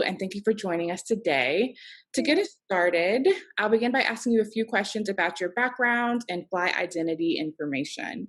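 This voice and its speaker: Woman host, 30s, upbeat formal intro delivery, lowish voice, laptop mic on a video call. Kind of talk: scripted interview introduction. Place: home office, video call. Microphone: video call computer mic.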